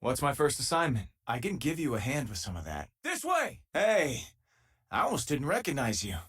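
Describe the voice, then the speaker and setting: Easygoing, husky-voiced space miner companion. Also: male voice, mid-range voice, wildly swooping pitch, normal speed, a bit bright, full character voice. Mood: relaxed, wry, then combat shouting. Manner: casual, dry-humoured, hesitant asides. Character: easygoing wry male space-faring miner companion, friendly and loyal. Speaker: video game companion character. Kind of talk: scripted game voice lines, natural conversational delivery.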